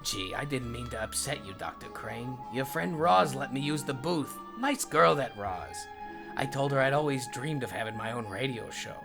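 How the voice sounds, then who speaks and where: A man's voice: mid-range voice, animated, moderate pace, creaky, over music. A man voicing a deceptively humble, shrewd middle-aged detective in a comic fandub, with a folksy, rambling, bumbling manner. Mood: folksy, casual.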